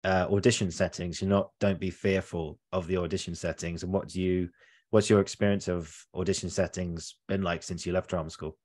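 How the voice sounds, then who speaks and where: A man's voice: low-pitched, level tone, brisk, darkish tone. A man hosting a podcast, talking in a reflective, casual way. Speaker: podcast host.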